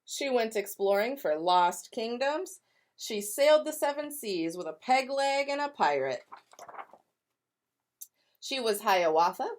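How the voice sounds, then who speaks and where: Woman reading a picture book aloud to children. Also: lowish voice. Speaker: elementary school educator reading aloud to pupils.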